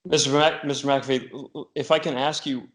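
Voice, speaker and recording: A man's voice: medium pitch, steady tone, a little fast. Hesitant stammering male interviewer. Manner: hesitant, stammering, repeating words. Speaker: interviewer, host. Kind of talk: interview questions.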